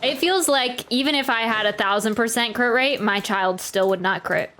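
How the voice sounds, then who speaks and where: A woman's voice: fairly high pitch, moderate pace, a bit bright. A woman game streamer chatting casually and reacting on a livestream.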